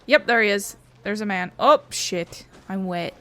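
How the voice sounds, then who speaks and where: A woman's voice: mid-range voice, animated, measured pace, bright, forceful tone. A woman giving casual, chatty let's-play commentary, musing aloud as the host of a gaming video.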